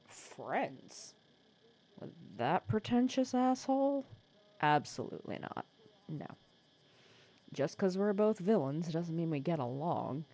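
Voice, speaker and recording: A woman acts out a sly female supervillain with a soft spot, in an intimate ASMR audio roleplay. Her voice is lowish and very breathy, with lively swings in pitch. She talks in a casual, teasing, persuasive way, sardonic but caring underneath. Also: slow pace, long pauses, heavily acted. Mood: indignant.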